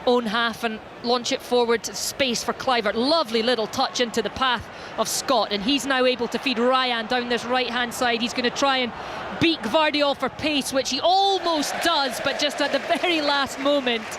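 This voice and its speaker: A woman doing live football play-by-play commentary, steady and descriptive. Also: a little high, normal speed. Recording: stadium crowd and noise behind.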